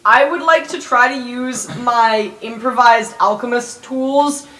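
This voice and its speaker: A woman's voice: a little high, measured pace, pushed and bright, rough recording. A woman playing an improvised tabletop role-playing game, talking casually as she describes actions. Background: clear background music.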